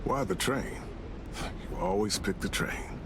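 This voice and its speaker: A man playing a warm, fatherly protector in a naturalistic video game drama, with rumbling noise behind him. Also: measured pace, lightly acted. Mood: tender, questioning. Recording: loud rumbling vehicle noise.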